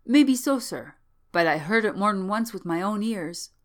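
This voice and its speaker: A woman's voice: medium pitch, animated, normal speed, pitched above the performer's own voice, lightly acted. A woman voicing a wrinkled old manservant in an audiobook, deferential, chatty and worried, with rustic, servile speech. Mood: insistent, deferential. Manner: servile, rustic ungrammatical speech, frequent "sir". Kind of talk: audiobook character voice.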